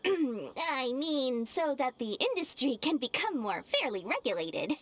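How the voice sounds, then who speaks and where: A woman's voice: high voice, very animated, measured pace, exaggerated voice. A woman voicing a crafty, greedy young merchant in a video game, with a sly, salesy, self-assured delivery. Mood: scheming, persuasive.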